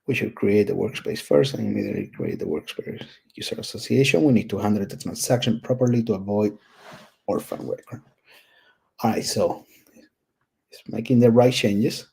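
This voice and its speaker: A low-voiced man with a thick Puerto Rican accent talks through a software build. His tone is mellow and a little husky. He thinks out loud with plenty of ums and restarts, casual and candid. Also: big pitch swings, normal speed. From Puerto Rico. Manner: casual and candid, thinking aloud with many fillers. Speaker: tech YouTuber. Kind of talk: screen-recorded coding walkthrough.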